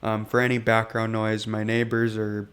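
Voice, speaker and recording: A hoarse young man recovering from illness, casually rambling through gameplay commentary with lots of pauses and fillers. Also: lowish voice, monotone, fairly bright tone. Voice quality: raspy. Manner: rambling, hesitant, lots of ums. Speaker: gaming channel host.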